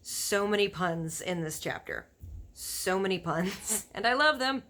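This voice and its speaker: Woman, storybook reader, expressive narration, bright tone, very lively intonation, informal asides. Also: mid-range voice, measured pace.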